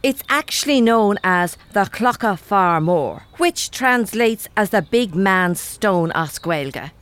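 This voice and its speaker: A woman's voice: mid-range voice, lively intonation, slow pace, fairly bright tone. An actress playing a blunt, suspicious Irish woman in a comedy audio drama, complaining in colloquial speech with an Irish accent. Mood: wary, dry. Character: blunt, suspicious, no-nonsense Irish woman, reluctant time traveller.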